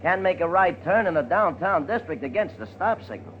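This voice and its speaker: An actor in a 1950s radio drama playing a chatty, streetwise Chicago cab driver with a matter-of-fact manner. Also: male voice, on the high side, big pitch swings, brisk, slight background noise. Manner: talkative, casual, matter-of-fact. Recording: muffled 1950s radio recording, loud music.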